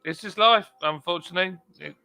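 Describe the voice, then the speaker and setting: A man's voice: high-pitched, a little fast, creaky, sharp tone. A man hosting a casual live stream, chatting and reading out viewer comments with plenty of fillers. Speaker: livestream host. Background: faint country music.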